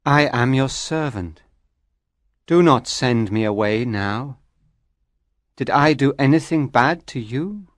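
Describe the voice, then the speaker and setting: Woman acting fearful storybook soul, low androgynous pitch, slow, solemn, beseeching. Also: expressive, no room sound, clean studio sound, full character voice. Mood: pleading. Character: pleading, fearful soul of a young fisherman. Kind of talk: dramatic storybook character reading.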